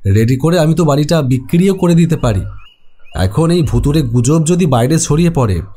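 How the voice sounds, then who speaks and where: A man playing a practical, skeptical house owner in a cartoon horror story, speaking in a measured way. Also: fairly high pitch, animated, dark tone.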